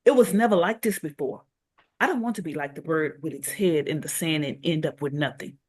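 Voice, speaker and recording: A woman's voice: sits low, a little fast, breathy edge. An actress reading a worried, conflicted diner owner in her forties in a holiday romance table read.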